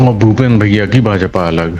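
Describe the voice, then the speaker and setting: A man's voice: fairly low pitch, normal speed, darkish tone, highs cut off, faint music behind. A man answering an interviewer in a colloquial way, often repeating phrases for emphasis. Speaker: local political party member being interviewed.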